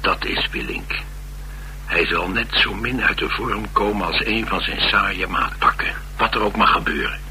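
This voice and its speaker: A man's voice: sits low, normal speed, sharp tone. A man with a Netherlands Dutch accent playing a blunt, world-weary chauffeur in a radio drama, confiding and sardonic. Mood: sardonic storytelling. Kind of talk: radio drama acting, colloquial storytelling. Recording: radio drama with faint hum and noise.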